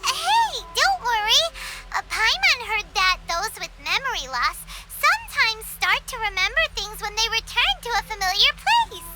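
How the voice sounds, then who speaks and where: A woman voicing a tiny, excitable, naive fairy companion in a video game, squeaky and childlike, with a chirpy manner. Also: moderate pace, exaggerated voice. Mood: encouraging, eager. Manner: chirpy, speaks of self in third person. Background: music.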